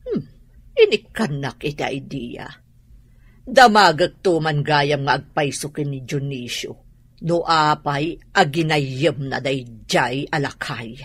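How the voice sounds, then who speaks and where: A man acting in an Ilocano radio drama as a knowing, gossipy older confidant with a raspy, wary voice. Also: fairly high pitch, expressive, takes it slowly, a bit bright, no room sound, full character voice. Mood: wary, confiding.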